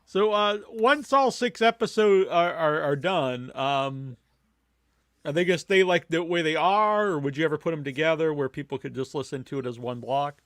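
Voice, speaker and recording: Higher-pitched man, halting podcast interviewer. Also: fairly bright tone, no echo, clean studio sound. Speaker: podcast host asking questions. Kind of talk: casual interview questioning.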